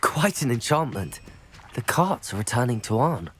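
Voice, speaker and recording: A man's voice: mid-range voice, sing-song, normal speed, slight background noise, close to an everyday voice. A man voicing an earnest, polite young wizard student hero in a video game. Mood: calm, pleased. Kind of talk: video game dialogue and shouted spell calls. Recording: loud game music behind the voice. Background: music.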